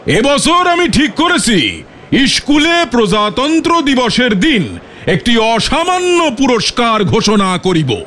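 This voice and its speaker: A man's voice: very high voice, big pitch swings, slow pace, pushed and bright, slight background noise, full character voice. A man voicing a pompous, self-important village school headmaster in a comic cartoon, speaking in formal, old-fashioned, theatrical language. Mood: pompous, grand.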